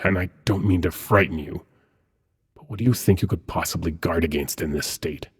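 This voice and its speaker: A man playing an ancient, seductive demon prince in an intimate ASMR roleplay, hushed and coaxing, with dramatic pauses and a husky, breathy voice. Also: sits low, exaggerated voice. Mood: gentle, confiding. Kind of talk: ASMR roleplay, intimate second-person monologue.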